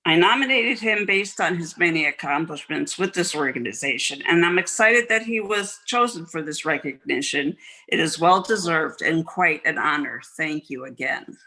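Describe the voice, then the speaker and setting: An older woman giving earnest, measured introductory remarks over a video call, as if reading from notes. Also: deep voice, lively intonation, bright, forceful tone, low-quality recording. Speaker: nominator introducing the honoree.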